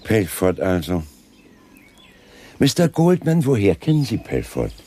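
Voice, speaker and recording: An actor playing a polite, persistent Scotland Yard chief inspector in a German radio crime drama, formal and courteous. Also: male voice, lowish voice, wildly swooping pitch, a little slow, dark tone, full character voice. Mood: polite, insistent.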